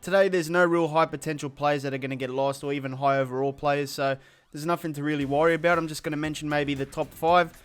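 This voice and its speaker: A man's voice: fairly high pitch, fairly even intonation, quick pace, smooth, faint music behind. A man hosting a gaming channel with a slight sore throat, running through update stats in a casual, matter-of-fact way. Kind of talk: video game update rundown commentary.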